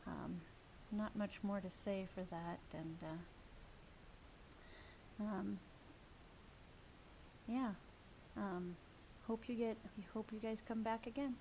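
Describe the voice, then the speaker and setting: A woman narrating a video of her horse's training in a relaxed, halting way with many fillers, sniffling a little. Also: medium pitch, vocal fry, slight background noise, low-quality recording. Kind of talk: casual voice-over commentary.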